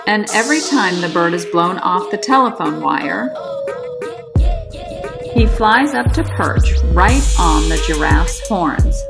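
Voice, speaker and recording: A woman narrates a children's picture book at a slow, deliberate pace. Her voice sits on the low side with a gravelly creak, and she leans on key words for drama. Music plays behind her. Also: animated.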